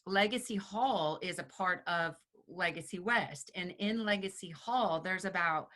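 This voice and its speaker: A woman with a Texan accent, chatting lively and expressively as a real estate agent on an interview panel. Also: on the low side. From upstate New York, raised in Texas. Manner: lively and expressive, quick to laugh. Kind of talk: casual interview chat.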